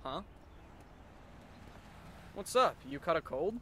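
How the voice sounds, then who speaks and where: A man playing a sardonic, weary male high school student who narrates and talks dryly in an anime fan dub. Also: animated, takes it slowly, bright, forceful tone, slight background noise, heavily acted. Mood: puzzled, casual. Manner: dry, wry, long-suffering.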